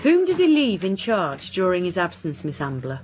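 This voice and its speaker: A woman acting a driven, weary police inspector in a radio drama, with a blunt, dry, questioning manner and a husky voice. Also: fairly low pitch, wildly swooping pitch, moderate pace, darkish tone, faint music behind. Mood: stern, insistent. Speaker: actor.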